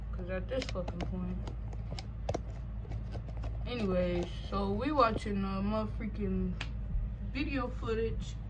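A young American woman telling a personal story in a casual, slangy, animated way with sarcastic asides, recorded with noise around her. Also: mid-range voice, highs cut off.

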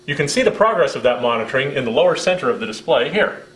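A man's voice: medium pitch, big pitch swings, fast, a bit bright, echoey room. A man in his fifties with an American accent gives a scripted product demonstration in a friendly, instructional way. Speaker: product presenter.

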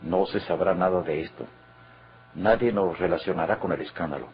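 A man playing a confident, decisive criminal schemer with a husky voice in an old Mexican radio drama. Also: deep voice, moderate pace. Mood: cool, assured. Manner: assured, decisive. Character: confident male schemer covering up a crime. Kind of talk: golden-age Mexican radio drama acting. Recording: dull vintage radio recording.

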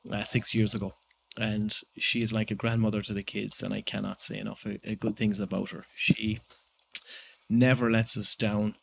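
A man in his thirties with an Irish accent, giving measured interview answers with many pauses and fillers. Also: on the low side, vocal fry, a bit bright, rough recording. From Ireland. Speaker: guest entrepreneur.

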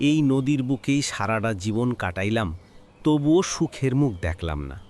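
A man's voice: mid-range voice, lively intonation, moderate pace, a little mellow, lightly acted. A man with a rural Bangladeshi accent playing a poor, anxious village father in a cartoon, plaintive and sorrowful. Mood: despairing. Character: poor, anxious village father, despairing but grateful boatman. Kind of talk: cartoon voice acting. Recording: faint background noise with birdsong.

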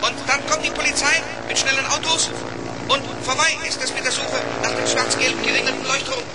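A small, clever robot speaks in a high, animated voice for a vintage children's radio play. It is cautious and matter-of-fact, explaining everything precisely. Heavy noise and drone sit behind the voice. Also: brisk. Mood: worried, practical. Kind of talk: 1970s German children's radio play acting.

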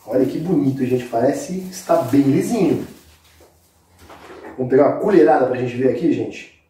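A man's voice: mid-range voice, normal speed, mellow. A man in his forties with a Brazilian accent, casually explaining a recipe step by step to viewers in an informal, instructive way. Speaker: cooking show host. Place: indoor kitchen set with brick wall. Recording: very echoey room, distant microphone.

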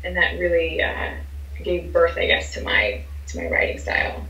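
A young woman from Philadelphia with a softened American accent, chatting upbeat as an interview guest. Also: low-pitched, very animated, moderate pace. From Philadelphia, USA. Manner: chatty and upbeat, gestures while talking. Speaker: singer-songwriter guest. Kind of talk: casual interview chat. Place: indoor room at home. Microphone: wireless earbuds on a video call. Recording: roomy, distant, dull video-call audio.

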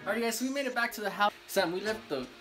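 A man's voice: high-pitched, animated, very fast, background noise. A young man chatting casually for a vlog, slangy and repeating himself. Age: young adult. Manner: slangy, repetitive. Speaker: vlogger.

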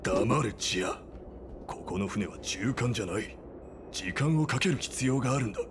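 A strained researcher in a video game plot, acted by a man. He is defensive and insistent in a mid-pitched voice, with clear noise around him. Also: expressive, moderate pace, close to an everyday voice. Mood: defensive, agitated. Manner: agitated, insistent. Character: strained, defensive male researcher among conspirators.

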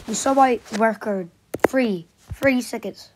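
Sniffly excitable girl with a cold. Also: on the high side, slow pace, background noise. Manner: excitable, bursts into gasps and squeals. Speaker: vlogger. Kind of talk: casual screen-recording vlog.

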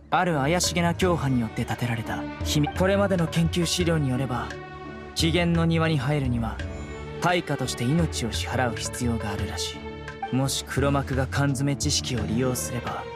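A man voicing a stern young enforcer in an anime game, terse, measured and serious. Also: medium pitch, lightly acted. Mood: grave, measured. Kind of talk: Japanese anime game voice acting. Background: background music with guitar.

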